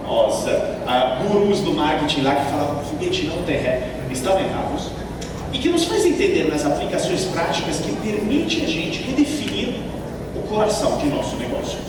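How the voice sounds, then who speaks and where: Lively Italian-accented man on a conference stage. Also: sits high. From Italy. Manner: animated storytelling, acts out dialogue. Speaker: keynote speaker. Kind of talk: conference keynote talk. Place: large conference hall. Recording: echoey hall from far off.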